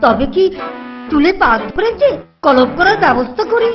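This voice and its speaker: A fawning, fussy court minister with an extremely high voice. The sound is dull, with clear music playing behind. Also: big pitch swings, normal speed. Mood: eager, servile. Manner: servile, eager. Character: obsequious, fussing, high-voiced royal minister. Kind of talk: Bengali children's cartoon voice acting. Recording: dull band-limited sound over loud music.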